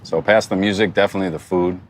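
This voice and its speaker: A man's voice: low voice, fairly even intonation, quick pace, a little hiss or hum. A man co-hosting a podcast, chatting in an informal, agreeable way. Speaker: podcast co-host. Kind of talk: casual podcast chat.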